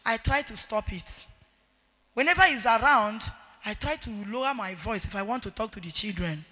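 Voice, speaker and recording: Loud Nigerian woman preaching in an echoey hall. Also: on the high side, expressive, normal speed. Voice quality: loud, forceful voice. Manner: emphatic, repeats key phrases for effect. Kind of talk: sermon, marriage teaching. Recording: echoey hall with long reverb.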